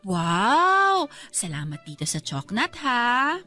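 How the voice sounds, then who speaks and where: A woman's voice: on the high side, wildly swooping pitch, takes it slowly, bright, forceful tone, faint music behind, full character voice. A woman playing a kind, pregnant young woman who politely soothes and defends others. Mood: cheerful.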